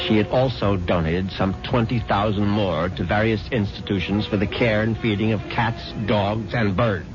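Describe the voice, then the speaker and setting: An actor playing a scheming, charming husband who also narrates, with a glib, wry manner, in a 1970s radio drama. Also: male voice, low-pitched, lightly acted. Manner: glib and wry, with a lively, swinging delivery. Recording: muffled old radio broadcast with clear hiss.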